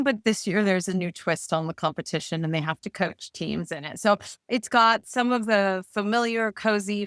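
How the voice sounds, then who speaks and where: A woman author being interviewed, pitching her books conversationally with upbeat, playful storytelling. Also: fairly bright tone, smooth, no room sound.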